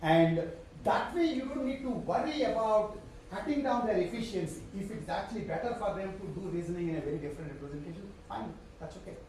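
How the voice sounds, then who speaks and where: A man's voice: high voice, animated, fast talker, a little mellow, distant mic, a little hiss or hum. Indian-accented man lecturing in an echoey hall. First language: Telugu. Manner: digressive, self-interrupting with fillers and chuckles. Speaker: professor lecturing. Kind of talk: live university lecture.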